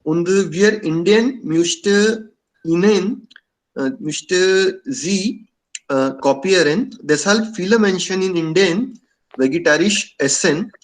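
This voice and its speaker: Slow, hesitant man from India. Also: high-pitched, poor audio. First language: Indian language. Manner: halting, many fillers. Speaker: club member sharing views. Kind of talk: hesitant casual talk in learner German.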